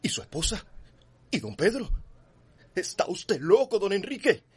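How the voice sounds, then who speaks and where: An actor playing an anxious, principled doctor in a radio drama, formal and protesting, with a Cuban accent and husky voice. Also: male voice, high voice, very animated, unhurried, full character voice. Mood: anguished.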